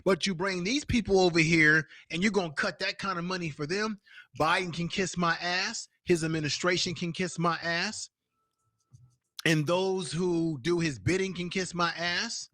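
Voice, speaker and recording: A man's voice: high voice, fairly even intonation, normal speed, bright, forceful tone, no echo, clean studio sound. A man hosting a live stream, giving a heated, profane political rant. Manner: profane, angry, repetitive ranting.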